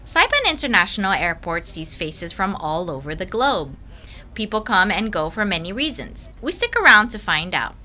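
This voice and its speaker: A woman's voice: medium pitch, very animated, moderate pace, pushed and bright, a little hiss or hum. A woman narrating a news feature as a reporter, in an upbeat, friendly scripted delivery.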